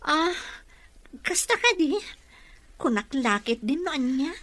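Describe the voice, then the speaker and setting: A woman's voice: high voice, animated, slow, fairly bright tone, no room sound, full character voice. An actress with an Ilocano accent playing a caring, respectful nurse and mother in a radio drama. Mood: polite.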